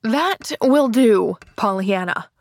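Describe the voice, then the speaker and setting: Woman voicing a sharp, scolding, high-pitched aunt. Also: expressive, slow, dry recording. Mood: indignant. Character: stern, exasperated, proper middle-aged aunt. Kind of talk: audiobook character voice.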